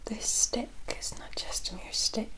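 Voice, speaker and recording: A breathy, whispered voice belongs to a kindly forest witch played by a woman. She speaks very slowly and deliberately, with a soothing, knowing manner suited to an ASMR roleplay. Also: close to an everyday voice. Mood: calm, mysterious. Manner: slow, hushed, soothing, deliberate.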